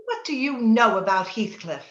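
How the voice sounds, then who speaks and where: A woman's voice: fairly high pitch, expressive, moderate pace, a bit bright. A woman acting a passionate, willful young woman of the moors in an old-time radio drama, theatrical and impetuous. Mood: angry, defiant. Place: indoor room, remote video-call session. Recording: roomy, dull video-call audio, faint noise.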